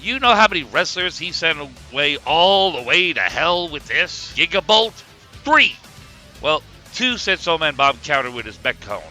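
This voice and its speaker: An excitable male wrestling commentator, acted out by a man, brash and theatrical. His voice is forceful and bright, swinging widely in pitch as he hams up the match commentary with music playing behind him. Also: normal speed, full character voice. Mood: fired up. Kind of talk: hammy sports commentary.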